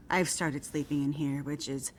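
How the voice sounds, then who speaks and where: A woman playing a guarded older woman scientist in a video game, speaking in measured, formal, precise phrases. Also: low voice, fairly even intonation, slight background noise. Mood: matter-of-fact. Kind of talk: video game cutscene acting. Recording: faint music behind the voice.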